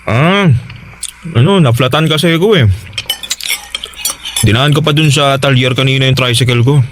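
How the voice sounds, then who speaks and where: An actor playing an easygoing, evasive tricycle-driver husband in a Tagalog radio drama, casual, hesitant and placating. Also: male voice, medium pitch, normal speed, background noise, lightly acted. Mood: evasive, apologetic.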